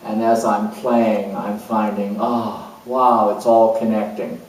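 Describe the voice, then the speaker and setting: An actor playing a calm, spiritual hospice coordinator who speaks in an earnest, searching way with many pauses. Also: male voice, lowish voice. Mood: reflective. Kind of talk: dramatized monologue. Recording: very echoey room, mic far off.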